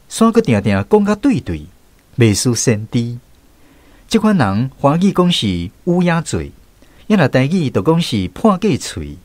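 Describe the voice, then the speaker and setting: A man with a Taiwanese accent hosting and narrating a scripted radio programme in an expressive storytelling manner. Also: mid-range voice, no echo. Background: background music, plucked guitar.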